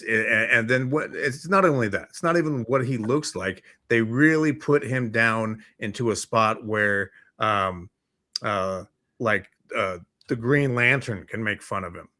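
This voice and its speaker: A man's voice: lowish voice, expressive, measured pace, pushed and bright, no room sound, studio quality. A man co-hosting a talk show in casual, rambling chat, hesitant and often repeating his words. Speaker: co-host of a geek culture morning chat show.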